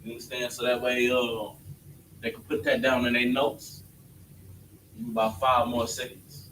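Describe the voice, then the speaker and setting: A man's voice: medium pitch, level tone, moderate pace, a bit bright. A man teaching a class on alphabet letters, casual and often checking that his students understand. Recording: echoey room, distant mic, faint noise.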